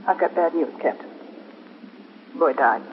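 A male actor plays a grave, measured doctor in a 1950s radio drama. His voice is fairly high for a man and restrained, in a reverberant, muffled old recording. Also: lightly acted. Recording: echoey, dull, hissy 1950s radio recording.

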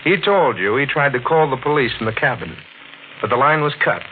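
A cunning killer with a lively, rising and falling delivery, played by a man in an old radio mystery. He talks calmly and smoothly, even as he menaces. The sound is thin and tinny, with a steady hiss under it. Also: mid-range voice, brisk, close to an everyday voice. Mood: persuasive. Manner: calm, menacing, silky. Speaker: villain in a radio drama. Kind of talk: 1940s radio acting.

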